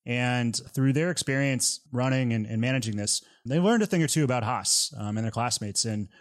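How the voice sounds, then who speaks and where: A man hosting a podcast interview, relaxed and joking, with plenty of fillers. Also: medium pitch, moderate pace. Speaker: podcast host.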